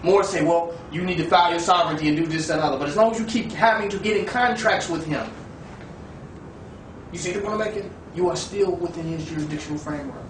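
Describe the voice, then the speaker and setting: A man with a high voice argues with preachy, rhetorical force. He keeps checking that the listener follows him. The recording is roomy and distant, with noise behind him. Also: fast. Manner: preachy, rhetorical, asks "you understand?". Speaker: discussion participant. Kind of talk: impassioned debate. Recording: echoey room, far from the mic, with noise.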